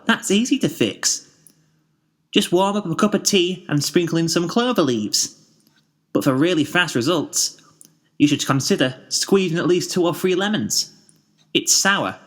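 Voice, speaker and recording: Man putting on a high, bubbly cartoon girl pony. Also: animated, measured pace, fairly bright tone, exaggerated voice. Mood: upbeat. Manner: bubbly, encouraging, chirpy. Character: cheerful, determined young female cartoon pony. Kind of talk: fan fiction character reading.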